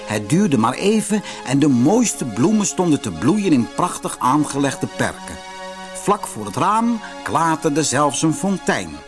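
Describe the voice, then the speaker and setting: A man reading a scripted fairy tale for children as an expressive narrator. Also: on the high side, unhurried. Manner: expressive storytelling. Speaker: storybook narrator. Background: music.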